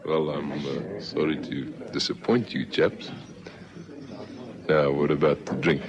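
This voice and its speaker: A man's voice: rumbling low, slow pace. A South African man playing a smug, falsely affable old ex-convict in a radio drama. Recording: dull vintage radio recording, loud music.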